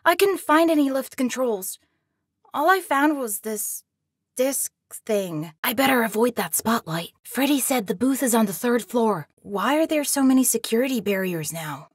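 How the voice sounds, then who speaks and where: A young boy in a video game, scared yet defiant. The voice is high and childlike, urgent and plaintive with a snarky edge. The sound is close and dry. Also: expressive, moderate pace, husky, dry recording. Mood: grumbling, curious. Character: scared but defiant young boy trapped in a pizza arcade.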